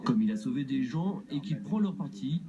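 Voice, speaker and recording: A man's voice: mid-range voice, animated, moderate pace, crowd noise. A man giving a short interview soundbite in a news report, speaking in a plain, assured way. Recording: dull audio, music behind.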